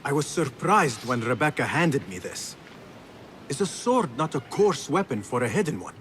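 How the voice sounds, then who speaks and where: An actor playing an eager young assassin apprentice in a video game, respectful and slightly hesitant. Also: male voice, medium pitch, sing-song, moderate pace, a bit bright, slight background noise. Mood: earnest, respectful. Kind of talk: dramatic video game acting.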